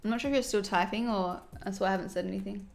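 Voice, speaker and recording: A woman's voice: mid-range voice, racing, vocal fry, soft background music. A woman streaming casually while doing her makeup, reading viewer comments aloud and reacting to them in a chatty, friendly way.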